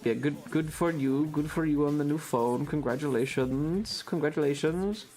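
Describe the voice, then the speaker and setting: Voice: mid-range voice, moderate pace, a little mellow, soft background music, rough recording. A livestream host chatting casually with viewers in a rambling, loose, casually profane way. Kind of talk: casual chat with stream viewers.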